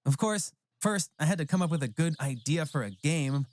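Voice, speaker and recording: A narrator reading a scripted first-person story for an animated tale. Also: mid-range voice, normal speed, slight background noise. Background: background music.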